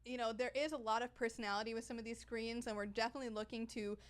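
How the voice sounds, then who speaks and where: A woman's voice: a little high, flat delivery, very fast. A woman who works on a game, presenting its updates on a livestream in an upbeat, explanatory way with plenty of fillers.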